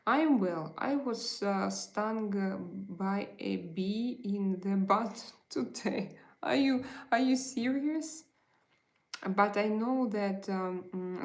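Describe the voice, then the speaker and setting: A woman with a Russian accent hosts a relaxed livestream, speaking slowly with a gravelly edge to her voice. She chats warmly with her viewers, pausing often over her words. Her voice carries a roomy echo. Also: mid-range voice. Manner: chatty, hesitant, greets and answers chat messages. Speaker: livestream host. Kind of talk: casual livestream chat with viewers.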